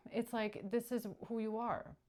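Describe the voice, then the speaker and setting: A woman's voice: a little high, flat delivery, darkish tone. Woman giving an intuitive tarot reading. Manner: repeats short phrases for emphasis, pausing. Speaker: tarot reader and channeller, show host.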